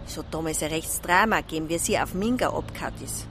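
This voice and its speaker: An actress playing a gossipy, plain-spoken village newsagent in a radio drama, speaking in a folksy Bavarian accent. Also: female voice, sits low, quick pace, fairly bright tone, a little hiss or hum. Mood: confiding. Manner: chatty, folksy. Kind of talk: naturalistic radio drama dialogue.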